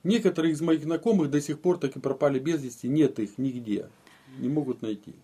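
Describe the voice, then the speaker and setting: A man's voice: fairly high pitch, fairly quick, mellow, husky. A man giving an explanatory account of his own experience in a casual interview, repeating phrases for emphasis. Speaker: interviewee, eyewitness.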